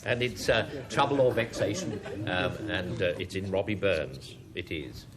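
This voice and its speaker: A man's voice: low voice, normal speed, a crowd in the background. A man hosting a studio panel quiz, chairing with wry, genial asides. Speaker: quiz show host. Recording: old TV broadcast with audience noise. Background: studio audience.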